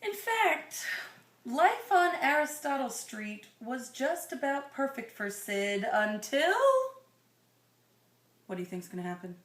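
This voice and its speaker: Woman, picture-book reader, slightly high voice, slow expressive delivery with chatty asides to the listener, roomy sound. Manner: expressive, drawn-out reading with conversational asides. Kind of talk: read-aloud storytelling with questions to the listener.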